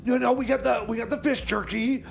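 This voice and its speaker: Male actor as bumbling radio-comedy mechanic, very high gravelly voice, stammering, rambling, faint noise. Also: fairly even intonation, a little fast, heavily acted. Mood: scheming, rambling. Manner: stammering, rambling, repeats himself. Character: Jeb, scatterbrained time machine mechanic, scheming bunker survivor. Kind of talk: comedy radio theater dialogue.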